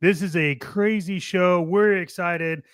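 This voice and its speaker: High-voiced showy male host, clean and dry. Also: steady tone, slow, fairly bright tone, clear, resonant tone, dry recording. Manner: showy, cheeky, swears casually. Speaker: podcast host. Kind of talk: casual hype show opening.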